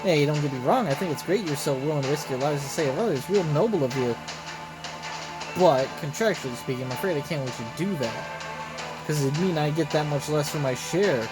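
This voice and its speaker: Game merchant, male reader: greedy rogue, folksy and breezy, fairly high male pitch, loud music underneath. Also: lively intonation, brisk, lightly acted, rough recording. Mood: smug, teasing. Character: roguish greedy male merchant, smugly philosophical schemer.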